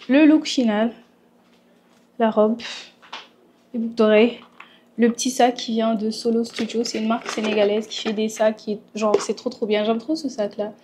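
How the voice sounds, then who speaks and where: A woman's voice: mid-range voice, a little mellow, slight background noise. A woman from Senegal chatting in a home vlog, a little congested from a cold, dropping English phrases into her talk. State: recovering from a cold. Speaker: vlogger. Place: at home in Montreal.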